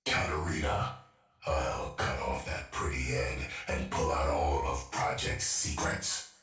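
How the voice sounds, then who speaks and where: An actor playing a vengeful, paranoid cyborg assassin in a video game, menacing and unhinged, muttering through robotic processing. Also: male voice, a bass voice, steady tone, takes it slowly, a bit bright, exaggerated voice. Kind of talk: video game character voice lines. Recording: roomy, distant, music behind.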